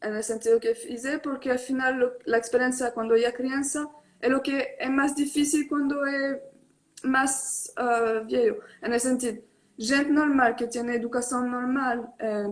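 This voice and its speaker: Guest: young woman, high voice, hesitant code-switching speech over a video call. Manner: mixes Spanish and Portuguese, hesitant with many fillers. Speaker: tattoo artist guest. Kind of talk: casual online live interview.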